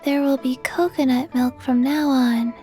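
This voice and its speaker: A little girl with a high voice and a very slow, halting delivery. This sleepy game character talks in a deadpan, childlike way over clear music. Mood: flat contentment. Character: small, sleepy undead girl, flat and childlike. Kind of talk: video game dialogue acting. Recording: music playing behind. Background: background music.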